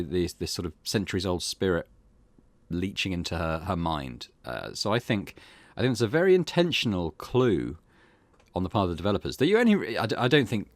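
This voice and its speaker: A man's voice: low-pitched, no echo. A man hosting a game playthrough, explaining and thinking aloud, often correcting himself mid-sentence. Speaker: let's play host. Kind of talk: game commentary.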